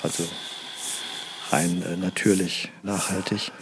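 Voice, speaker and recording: Guy doing a plodding deadpan staffer in a mock documentary, kinda low voice, halting. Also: background noise. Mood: earnest, deadpan. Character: earnest, plodding male staff member of a seniors programme.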